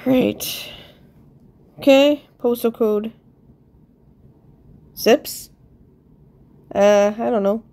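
A woman's voice: on the high side, very slow, long pauses, mellow. A woman with a stuffed-up nose casually thinks aloud over a puzzle game, a little self-deprecating. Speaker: player commenting on own gameplay.

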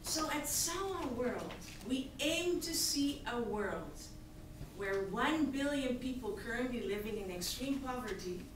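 Earnest woman speaking in an echoey hall. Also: on the high side. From Tanzania. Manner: earnest, emphatic, gesturing at slides. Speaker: nonprofit founder giving a pitch. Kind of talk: prepared presentation speech. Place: large hall, on stage at a podium. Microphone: podium mic, recorded by a far audience camera. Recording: echoey hall from far off, hissy.

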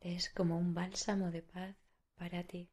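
Low-pitched Spanish woman guiding meditation, even-toned. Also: slightly rough. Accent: Spain. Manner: soothing, unhurried, with long pauses. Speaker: meditation guide. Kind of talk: guided meditation.

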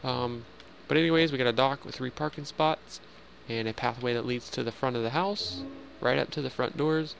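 A man's voice: mid-range voice, moderate pace, vocal fry, faint music behind. A young man casually narrating a game house tour in voice-over, rambling with fillers and joking at himself. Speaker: Minecraft builder giving a house tour.

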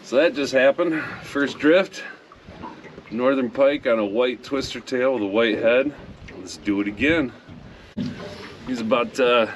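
Low-voiced man vlogging from a boat. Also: noisy background. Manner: relaxed, matter-of-fact, upbeat when a fish bites. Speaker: fishing vlogger. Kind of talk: casual vlog narration. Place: small aluminum boat on an open lake, outdoors. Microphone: action camera mounted in the boat.

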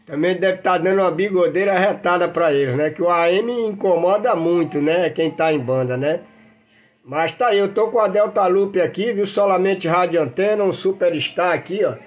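A man's voice: sits high, moderate pace. A Brazilian man chatting casually as an amateur radio operator, enthusiastic and folksy, full of slang and jargon. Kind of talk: casual radio contact chat with running commentary.

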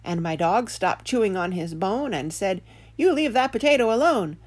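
A woman voicing an excitable, easily spooked male farmer in a children's tale, sounding exasperated. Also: medium pitch, normal speed, pitched above the performer's own voice, natural voice. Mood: agitated.

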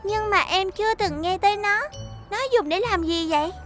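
Very high-pitched, sweet little girl car. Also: moderate pace. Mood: worried. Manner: childlike, polite, expressive. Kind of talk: animated children's cartoon dub. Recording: background music under the voice.

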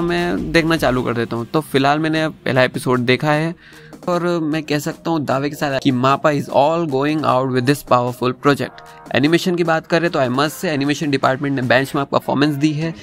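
A man narrating a review as a YouTuber, conversational, mixing in English phrases. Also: on the high side. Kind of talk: review narration with plot recap. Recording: music under the voice. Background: background music.

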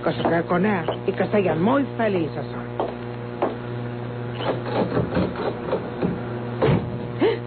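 Woman playing a nervous, animated Mexican country girl. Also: on the low side, normal speed, lightly acted. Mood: defiant, agitated. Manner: agitated, rustic speech. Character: nervous, secretive, spirited country daughter. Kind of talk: old Mexican radio melodrama acting. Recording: tinny old radio recording, loud music.

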